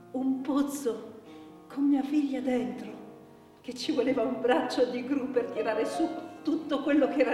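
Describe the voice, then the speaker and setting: A woman playing an anguished, weary mother grieving for her daughter in a broken, repetitive theatrical monologue. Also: high voice. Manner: slow, broken phrases with repetitions. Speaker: stage actress. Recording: echoey hall, distant mic, piano music.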